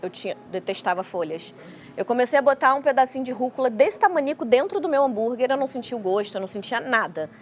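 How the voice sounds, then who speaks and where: Higher-voiced Carioca woman explaining. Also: lively intonation, quick pace. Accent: Carioca (Rio de Janeiro) Brazilian Portuguese. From Rio de Janeiro, Brazil. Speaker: guest child feeding specialist. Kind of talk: explanatory talk show interview.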